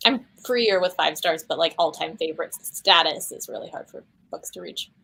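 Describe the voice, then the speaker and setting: Book chat guest, woman, low husky voice, talks fast. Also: fairly bright tone, rough recording. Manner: quick, lots of "like". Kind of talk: casual group chat on video call.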